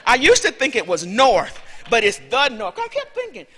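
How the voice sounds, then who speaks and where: A woman's voice: a little high, huge pitch swings, moderate pace, fairly bright tone, breathy edge. A woman from Alabama with a Southern US accent, giving a chatty, rambling conference talk as a visiting lecturer, full of self-deprecating asides. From Alabama, USA. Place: large lecture hall. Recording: reverberant hall.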